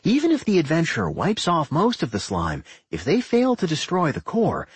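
A man voicing a manic, gleeful tentacled dungeon creature in an excitable, exclaiming way for a fantasy audiobook. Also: on the high side, moderate pace, breathy edge, no echo, voice pushed up, heavily acted. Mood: eager explaining.

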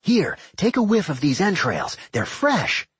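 A gleeful tentacled dungeon monster, pitched high and swooping wildly. The creature is excitable and manic, exclaiming everything with eager encouragement in a fantasy storybook. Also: male voice, unhurried, husky, dry recording, exaggerated voice. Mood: gleeful, excited.

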